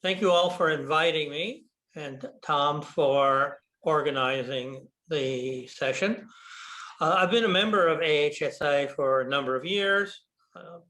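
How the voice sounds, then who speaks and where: Older American man, slow delivery, slightly high voice, video call talk. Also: bright, forceful tone, poor audio. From United States. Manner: deliberate, with frequent ums and uhs. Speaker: guest speaker, bookshop owner. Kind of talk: presentation lecture over video call.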